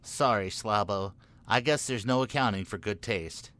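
A man's voice: lowish voice, normal speed, bright, forceful tone, dry recording, shouting, clean studio sound. A man playing an earnest, naive and jittery schoolboy in a comic radio play. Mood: earnest, genial.

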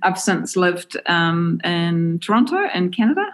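A New Zealand woman with a notably low voice answers interview questions in a relaxed, chatty way. She sounds enthusiastic and fills her pauses with "um"s. Her answers come across as loose and unscripted. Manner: enthusiastic, frequent "um" fillers. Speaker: interview guest, creative director.

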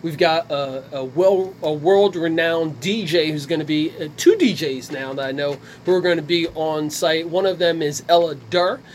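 A male radio host introduces his guests in a friendly way. His voice is a little high, and a faint hum sits behind him in the studio. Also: normal speed, few pauses. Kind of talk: radio talk show hosting.

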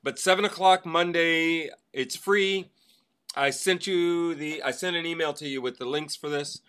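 A man co-hosts a podcast with a bright, forward voice pitched a little high. He gives casual announcements in a chatty way. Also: measured pace, clean tone. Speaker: podcast co-host. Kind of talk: casual show announcements and chat.

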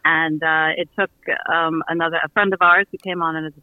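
A man speaking as a guest in a casual radio interview about his creative work, with hesitant, halting phrasing. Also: on the high side, level tone, no room sound, phone-quality sound. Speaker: audio theater producer and guest.

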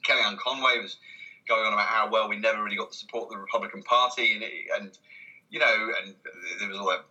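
A man's voice: on the low side, steady tone, fast, pushed and bright, lo-fi sound. A man talking casually as an interview guest, in a hesitant, rambling way, often breaking off and restarting his sentences. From Britain. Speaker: interview guest, comedy writer.

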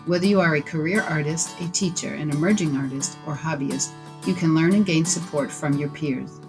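A woman hosting a podcast, reading a scripted welcome, then chatting with a guest with quick encouraging replies. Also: sits low, music in the background. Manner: upbeat, encouraging, quick affirmations. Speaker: podcast host. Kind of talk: scripted intro then casual interview.

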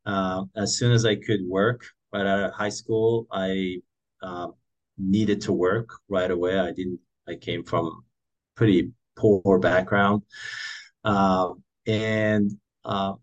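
A man's voice: low voice, little pitch movement, takes it slowly, highs cut off. A man in his forties from Morocco, telling his career story as an interview guest with many fillers and restarts. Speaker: guest entrepreneur.

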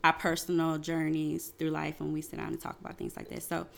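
Gravelly low woman in relaxed chat. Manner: reflective, faith-minded, halting with fillers. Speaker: podcast co-host. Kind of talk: casual chat.

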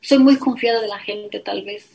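A woman's voice: mid-range voice, normal speed, vocal fry, rough recording. Earnest Ecuadorian woman telling her story. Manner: earnest, animated storytelling with quoted dialogue. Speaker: guest.

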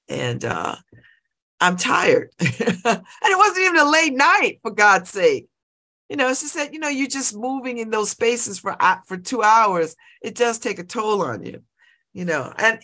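A woman's voice: on the high side, sharp tone. A woman in her late fifties hosting a morning radio show, chatting casually and exuberantly, laughing often and repeating herself as she talks. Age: 50s. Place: radio studio.

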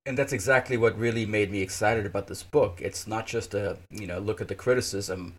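A man in his fifties, a native Russian speaker, hosting a podcast interview and talking in a halting way with many fillers and restarts. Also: fairly low pitch, breathy edge. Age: 50s. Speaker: podcast host. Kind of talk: casual interview.

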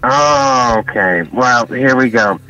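A man playing a bumbling radio caller, slow drawn-out rambling, far from the mic. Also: medium pitch, pushed and bright, muffled recording. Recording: distant mic, music behind.

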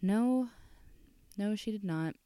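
A woman's voice: medium pitch, takes it slowly, dark tone, clean tone, no echo, clean studio sound, voice pushed up, lightly acted. A woman reading a short story aloud as a mild, apologetic mother, hesitant and placating. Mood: flustered.

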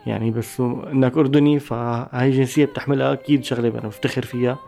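A man with a Syrian Arabic accent, a musician giving a thoughtful interview, pausing and restarting mid-phrase. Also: medium pitch, fairly even intonation, vocal fry, warm tone. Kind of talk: reflective interview talk.

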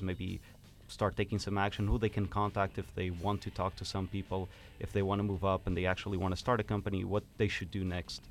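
A man's voice: low-pitched, a little hiss or hum. A young man from Portugal, a student guest on a radio interview, explaining things earnestly with some hesitation.

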